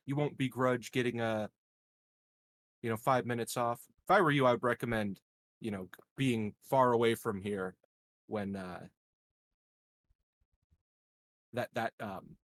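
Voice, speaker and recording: A man playing a deadpan, scheming political candidate in a tabletop game, speaking hesitantly and with sly politeness. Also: medium pitch, moderate pace, pauses often, dry recording, close to an everyday voice. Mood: dryly teasing. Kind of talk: improvised tabletop roleplay.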